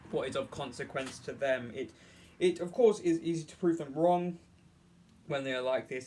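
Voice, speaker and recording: A man giving an earnest, unscripted opinion monologue in a halting, rambling way, often repeating and rephrasing as he argues. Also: mid-range voice, slight background noise.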